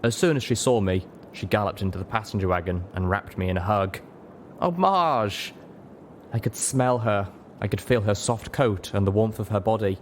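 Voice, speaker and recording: Low-voiced man narrating an adventure story. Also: fairly even intonation, fairly quick, a little mellow, slight background noise. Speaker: audiobook reader. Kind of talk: dramatic scripted story narration.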